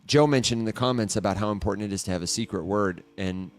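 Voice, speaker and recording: A man's voice: on the low side, fast talker. A man co-hosting a morning podcast in casual chat, conversational, asking his co-host questions.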